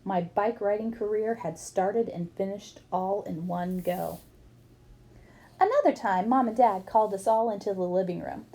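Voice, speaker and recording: A woman's voice: medium pitch, moderate pace, warm tone. A woman reading a book aloud expressively, as for children, acting up the dialogue. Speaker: book reader.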